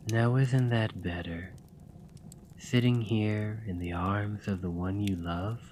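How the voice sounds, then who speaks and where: A man voicing a doting, teasing male dragon lover in an ASMR roleplay, talking softly and coaxingly. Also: lowish voice, steady tone, slow, pauses often, a little hiss or hum. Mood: tender. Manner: soft, playful and coaxing.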